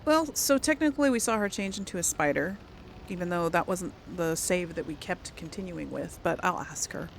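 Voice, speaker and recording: A woman's voice: lowish voice, animated, moderate pace, gravelly, slight background noise, low-quality recording. A woman doing casual let's play commentary, thinking aloud in an informal, hesitant way. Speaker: gamer, let's play host.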